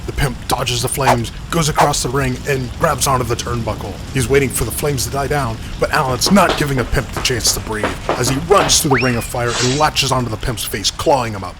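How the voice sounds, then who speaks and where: A man's voice: mid-range voice, a little fast, hardly any pauses, music in the background, natural voice. A man playing an earnest, chatty play-by-play commentator in a cartoon wrestling show. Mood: earnest, narrating. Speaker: match commentator.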